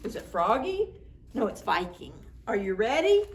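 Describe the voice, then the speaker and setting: High-voiced woman reading to preschoolers. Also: big pitch swings, normal speed. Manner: playful, acts out sound words. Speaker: children's storytime reader. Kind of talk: expressive picture-book read-aloud for preschoolers.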